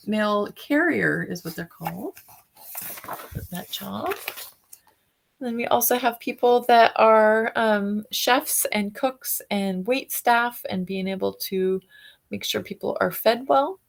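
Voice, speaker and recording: Woman, medium pitch, noticeable vocal fry, unscripted explaining to children, question-led teaching style. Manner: guessing aloud, prompting listeners with questions. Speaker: teacher reading aloud to children. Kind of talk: children's read-aloud discussion, unscripted explaining.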